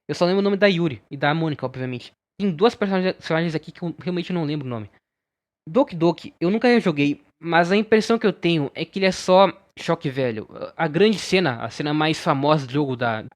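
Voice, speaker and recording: High-voiced young Brazilian man giving blunt opinions. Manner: informal, blunt. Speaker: video game reviewer. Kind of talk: casual opinion commentary.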